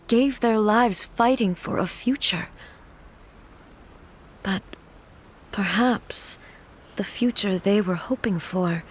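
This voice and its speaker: Grave warrior goddess, a woman doing her, slow and formal, faint game music behind. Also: medium pitch, natural voice.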